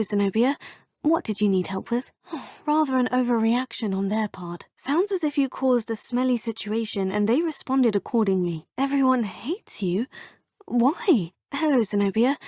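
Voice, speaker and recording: A woman's voice: fairly high pitch, a little mellow, no echo, studio quality. An actress voicing a poised, polite young witch student in a game, proper and lightly teasing. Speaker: player character, student. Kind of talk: game voice lines. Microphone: close studio mic.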